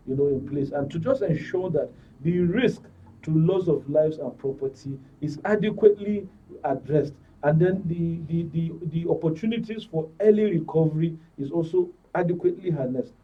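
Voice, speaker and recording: A man's voice: a little high, moderate pace, breathy edge. A man with a Nigerian accent giving explanatory policy commentary as a panel guest, often repeating words. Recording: thin phone-like sound, distant microphone.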